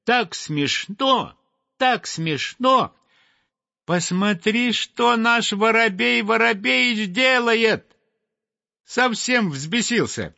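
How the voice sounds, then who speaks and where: A man voicing a lively little female titmouse, chirping and giggling in a storybook reading. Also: extremely high pitch, extremely slow, gravelly, no echo, dull audio, voice pushed up, heavily acted. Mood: giggly. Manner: chirpy, laughing.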